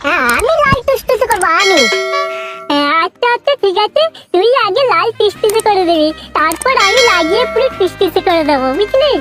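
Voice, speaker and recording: A performer voicing a cheeky, foul-mouthed young brother-in-law in a comedy cartoon, with a squeaky pitch-shifted voice and stammering, slangy banter. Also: expressive, brisk. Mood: excited, boastful. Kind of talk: Bengali comedy cartoon dialogue. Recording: loud music under the voice.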